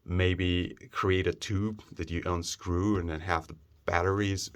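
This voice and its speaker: A man giving a casual, unscripted product review, speaking in a plain, opinionated way with halting phrasing. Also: low-pitched, fairly even intonation, background noise. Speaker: gear review presenter.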